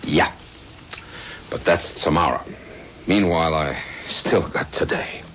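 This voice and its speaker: An actor playing a patient, weary policeman in his fifties in a radio drama, plain-spoken, steady and resigned. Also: a bass voice, slow, heavily acted. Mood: dejected, resigned. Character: patient, weary veteran neighborhood policeman near retirement. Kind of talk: old-time radio drama acting. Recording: dull, noisy old radio recording.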